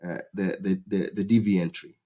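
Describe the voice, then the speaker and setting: Male host from Kenya, low-ish voice, relaxed explainer with frequent restarts. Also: normal speed. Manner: rambling, many fillers and restarts. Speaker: YouTube channel host. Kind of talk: casual explainer talk to camera.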